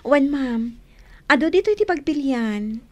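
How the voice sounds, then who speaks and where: A bubbly young shop saleswoman in a radio drama. She is polite and perky, with a very high voice that bounces around in lively swings. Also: measured pace. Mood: cheerful. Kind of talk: Ilocano radio drama acting.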